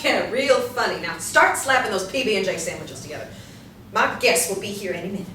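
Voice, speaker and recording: A woman's voice: mid-range voice, animated, fairly quick, pushed and bright. A woman playing a vain, hot-tempered rich hostess, emphatic and indignant, in a stage play reading. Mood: bossy. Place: small indoor room, seated with scripts. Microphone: distant camera. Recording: echoey room, distant mic, faint noise.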